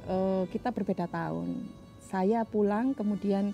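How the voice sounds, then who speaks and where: A young woman tells her personal story casually. Her voice is very dark and soft-edged, at a middling pitch, over faint background music. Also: measured pace, gravelly, highs cut off. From Jombang, East Java, Indonesia. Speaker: doctoral student telling her story.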